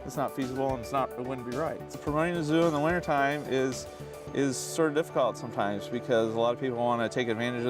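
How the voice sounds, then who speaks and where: A man, a zoo staff member, giving conversational interview answers and explaining things plainly. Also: medium pitch.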